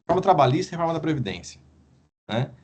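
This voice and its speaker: A man, a guest professor, explaining at a measured pace in a podcast discussion and often ending his points with a questioning tag. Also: fairly high pitch, big pitch swings, a little mellow, husky. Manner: measured, explanatory, frequent "né?" tags.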